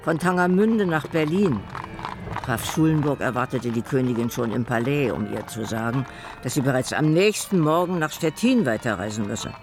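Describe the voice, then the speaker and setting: Actor as a grave, very animated court diarist. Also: male voice, mid-range voice, normal speed, over music, lightly acted. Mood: sober, reporting. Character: grave court diarist chronicling a queen's wartime flight. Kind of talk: dramatized diary reading in a radio play.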